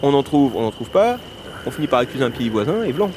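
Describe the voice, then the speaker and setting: A man's voice: mid-range voice, sing-song, quick pace, noisy background, lightly acted. A man playing a naive, pedantic time-travelling sidekick in a comedic audio drama, eager, earnest and chatty.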